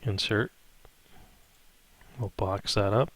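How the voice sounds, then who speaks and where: Low-voiced man teaching math step by step. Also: slow pace. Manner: thinks aloud, frequent check-in tags like "right?". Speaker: math instructor. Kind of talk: improvised step-by-step spreadsheet tutorial.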